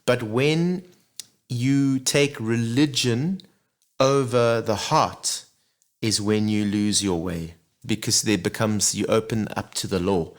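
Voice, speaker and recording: A man, a singer talking as a guest in a relaxed, reflective interview. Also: lowish voice, slow pace. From Zimbabwe. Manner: reflective, earnest, many "you know" asides. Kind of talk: casual interview chat.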